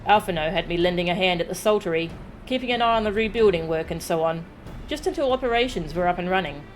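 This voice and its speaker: A woman's voice: fairly low pitch, quick pace, faint music behind, natural voice. Woman putting on a suave, wry male rogue. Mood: wry, casual. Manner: wordy, dryly witty. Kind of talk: dramatic read-aloud of video game dialogue.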